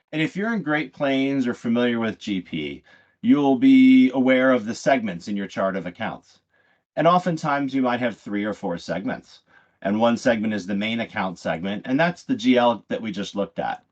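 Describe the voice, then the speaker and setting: A man giving a live software demo in an explanatory, conversational way, often pausing mid-phrase. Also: medium pitch, lively intonation. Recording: dull, muffled lo-fi audio.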